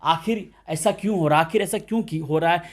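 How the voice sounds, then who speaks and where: A man's voice: a little high, a little fast. A man reporting from the field, speaking to viewers in an emphatic, dramatic way full of rhetorical questions. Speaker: news reporter. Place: at a family's home.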